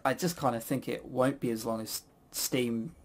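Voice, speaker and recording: A man's voice: mid-range voice, steady tone, moderate pace, a crowd in the background. A British man hosting a casual podcast, talking in a rambling, blunt, sweary way with frequent stammered false starts. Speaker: podcast host. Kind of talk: casual opinionated chat.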